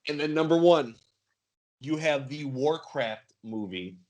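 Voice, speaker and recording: A man's voice: a little high, animated, slow pace, a little mellow. A man hosting a podcast game in a casual quiz style.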